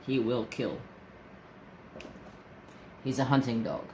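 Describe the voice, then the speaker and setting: Slow-talking man in his forties. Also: medium pitch, lively intonation, dark tone, a little hiss or hum. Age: 40s. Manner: rambling, chatty storytelling with restarts. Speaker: crafting vlogger. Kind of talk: casual chat while crafting.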